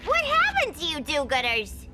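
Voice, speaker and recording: A woman's voice: high voice, wildly swooping pitch, moderate pace, very noisy. A woman playing a brash, wacky female clown crook in a video game, slangy and exaggerated. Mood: defensive. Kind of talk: animated video game cutscene acting. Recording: loud music behind the voice.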